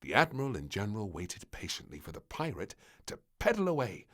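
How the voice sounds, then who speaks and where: A man narrating a children's story from a script, with expressive, deliberate phrasing. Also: fairly low pitch.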